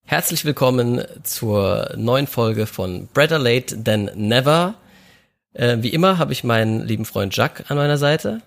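A man hosts a podcast in a relaxed, casual chat. His voice has a gravelly fry to it. He talks hesitantly, with plenty of filler sounds between his thoughts. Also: medium pitch, a little slow. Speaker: podcast host.

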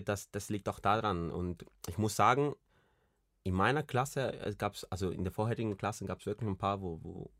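Deep-voiced young man from Colombia. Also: no echo. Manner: rambling, many restarts and fillers. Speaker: podcast co-host. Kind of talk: casual chat.

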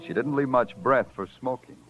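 An American man playing a wry hard-boiled New York police detective in a 1950s radio crime drama, deadpan and terse. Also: medium pitch, normal speed, lightly acted. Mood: wry, world-weary. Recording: muffled old radio recording with music.

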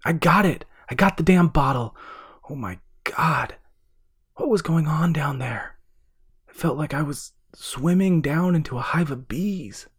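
An irreverent young man, acted out by a male narrator in a horror audiobook. His voice is very breathy and husky, his talk blunt and colloquial, with an excitable edge. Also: deep voice, normal speed, hardly any pauses. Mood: frantic, breathless.

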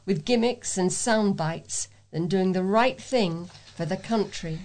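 A woman's voice: mid-range voice, takes it slowly, darkish tone, slight background noise. A woman reading readers' letters aloud as a volunteer on a local talking newspaper.

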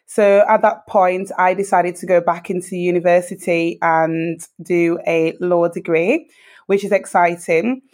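Thirties woman, British accent, interview guest, thoughtful and earnest, pauses often. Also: fairly low pitch, fairly even intonation, a little mellow. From Dominica. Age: 30s. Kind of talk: reflective personal storytelling.

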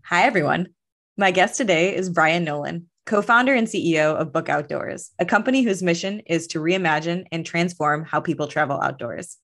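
A woman's voice: lowish voice, normal speed, a bit bright. American woman hosting a podcast interview. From US Midwest, grew up in Chicago. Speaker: podcast host.

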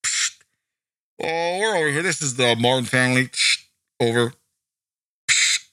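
A man's voice: medium pitch, measured pace, pushed and bright, smooth, dry recording. A man playing searchers and a ranger talking over walkie-talkies, hissing static noises between lines. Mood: goofy. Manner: hissing radio static sounds, drawling.

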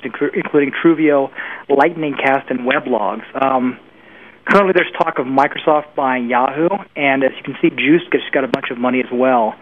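A man's voice: medium pitch, a little hiss or hum. Man on a tinny phone line. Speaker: guest, internet industry pundit. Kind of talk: conversational industry commentary. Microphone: telephone call.

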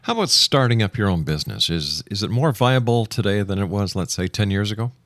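A man hosting a radio interview, putting questions in a measured way. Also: fairly low pitch, expressive, fairly bright tone, no room sound.

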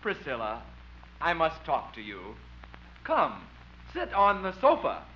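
An actor playing an earnest, flustered suitor in a pilgrim play on a 1950 radio comedy. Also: male voice, a little high, huge pitch swings, slow pace, full character voice. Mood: eager. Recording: muffled old radio recording, faint noise.

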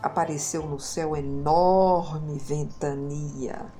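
A Brazilian woman narrating a children's story in an expressive, dramatic way, leaning on key words. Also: sits low, slow, faint music behind. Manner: dramatic, emphasizes and repeats words. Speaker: children's storyteller, podcast host.

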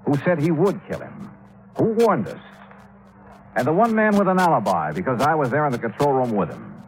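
Sharp radio detective, animated American delivery. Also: male voice, on the high side, brisk, rough recording. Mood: intense, accusing. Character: sharp, determined male insurance investigator solving a murder. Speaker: radio drama lead. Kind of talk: 1950s radio detective drama acting. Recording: vintage 1950s radio broadcast recording.

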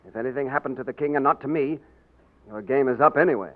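An actor playing a bold, wry young English gentleman posing as king in a 1940s radio drama. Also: medium pitch, fast, natural voice. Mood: resolute. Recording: tinny 1940s radio broadcast.

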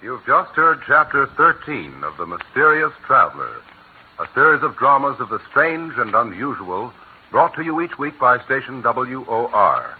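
A male radio announcer reading closing credits in a crisp, measured, formal broadcast style. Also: mid-range voice, very animated. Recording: tinny old radio broadcast with hiss.

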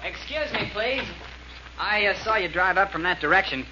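An actor playing a cheerful, eager young ex-soldier in 1940s radio style. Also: male voice, sits high, lively intonation, moderate pace. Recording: muffled, noisy old radio recording.

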